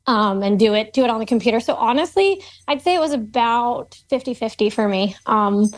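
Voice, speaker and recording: A woman chats in an upbeat, easygoing way on a group call. Her voice is high and bright in pitch. She leans on fillers as she goes. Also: expressive, fairly quick. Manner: upbeat, chatty, many fillers. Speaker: call-in participant. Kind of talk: casual group discussion.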